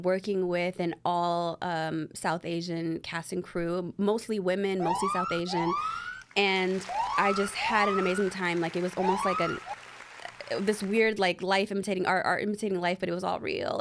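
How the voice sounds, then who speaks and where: A woman's voice: medium pitch, sing-song, normal speed, slight background noise. A woman from Bangladesh, an actress and writer, answering interview questions thoughtfully in a podcast studio, with some hesitation.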